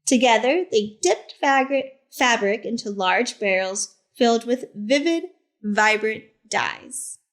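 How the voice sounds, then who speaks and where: A woman reading a picture book aloud to children as a teacher librarian, in simple, deliberate phrases with short asides. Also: on the high side, expressive, a bit bright. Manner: simple, deliberate phrasing addressed to young listeners.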